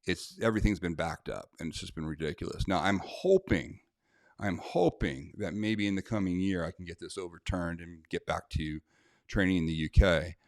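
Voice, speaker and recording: Brief American man, deep voice, indignant straight talk, close dry mic. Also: normal speed, dry recording. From United States. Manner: blunt, indignant, conversational with many fillers. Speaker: self-defense instructor. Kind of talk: casual talk to camera, reading a letter aloud.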